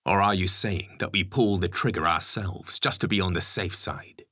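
A man's voice: low-pitched, moderate pace, sharp tone, dry recording, clean studio sound. A man voicing an anguished, indignant Prime Minister with a conscience, in a dramatic story reading. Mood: appalled.

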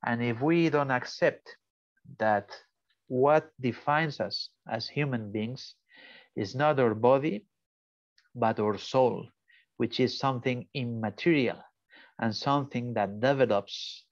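A man with a strong Spanish accent gives an earnest lecture over a video call, now and then pausing to hunt for an English word. The sound is muffled and dry, with no room around it. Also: mid-range voice, dry recording. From Spain. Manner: earnest, searches for English words. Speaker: invited speaker. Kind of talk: online lecture.

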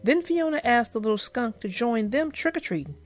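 Expressive, high-voiced woman storyteller. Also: a little fast. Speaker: children's book narrator and host. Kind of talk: expressive read-aloud and chatty commentary. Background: music.

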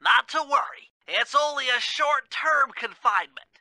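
An actor playing a brainy, earnest turtle gadget expert in a video game, nasal and know-it-all. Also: male voice, very high voice, expressive, moderate pace, bright, forceful tone, no echo, exaggerated voice. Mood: confident instructing.

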